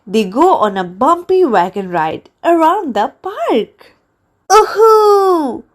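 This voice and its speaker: A woman reading a children's storybook aloud in an expressive, deliberate way meant for young listeners. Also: fairly high pitch. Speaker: children's book reader.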